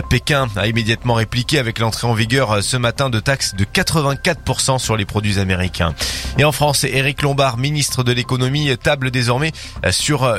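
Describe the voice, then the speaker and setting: A man reading a morning radio news bulletin in a studio, steady with the odd hesitation. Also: medium pitch. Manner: steady read with occasional hesitations. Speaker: radio newsreader. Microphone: studio microphone.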